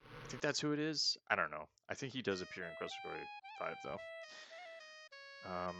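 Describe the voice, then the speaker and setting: A man talks through a video game, rambling and second-guessing himself as he plays. His voice is very low and gravelly with steady fry. Game music runs clearly behind him. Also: moderate pace. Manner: rambling, hedging, thinking aloud. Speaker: let's play host. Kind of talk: casual gameplay commentary.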